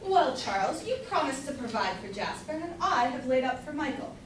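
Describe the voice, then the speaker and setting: A woman's voice: sits high, big pitch swings, normal speed. A young woman playing a scolding, fretful wife and mother in an amateur staged reading, emphatic and declamatory. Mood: scolding, exasperated. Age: young adult. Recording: echoey hall from far off, noisy.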